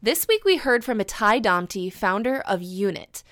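A woman host reads a scripted introduction at a measured pace. Her voice is close and dry, with faint music playing underneath. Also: mid-range voice, sing-song, fairly bright tone, dry recording. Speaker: event host introducing guest.

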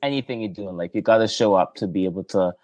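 A man's voice: lowish voice, fast, darkish tone, dry recording. A man hosting an interview podcast, asking questions in a friendly, affirming way with lots of short encouraging replies. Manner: friendly, affirming, frequent "okay" and "yes", laughs often. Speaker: podcast host. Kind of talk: casual interview chat.

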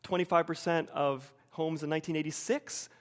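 An American man in his forties preaching a sermon with personal anecdotes, measured, with emphatic pauses and repeated phrases. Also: on the high side, fairly even intonation. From United States, suburban Wilmington. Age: 40s.

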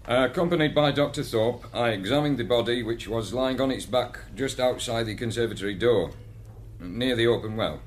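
A man's voice: mid-range voice, normal speed, slight background noise, natural voice. A man acting as a methodical police inspector in a radio mystery, giving a stiff, official report. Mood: formal, official. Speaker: actor in a radio drama.

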